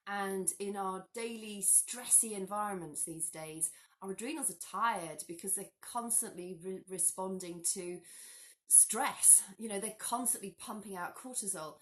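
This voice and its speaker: A woman talks straight to her phone camera from her living room, a little hoarse from a cold. She explains things in a chatty, animated way, often breaking off and restarting her sentences. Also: medium pitch, unhurried. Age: 40s. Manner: chatty, animated hand gestures, frequent restarts. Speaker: health vlogger. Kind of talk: casual explanatory talk to camera. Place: living room at home, indoors.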